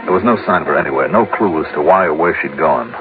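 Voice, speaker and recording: A skeptical old-radio private eye, quick clipped delivery, tinny vintage sound. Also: male voice, very deep voice, expressive. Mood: suspicious, reflective. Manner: brisk, clipped, questioning. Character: sharp, skeptical male private investigator, coolly probing. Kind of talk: old-time radio detective drama acting and first-person narration. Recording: band-limited vintage radio with music.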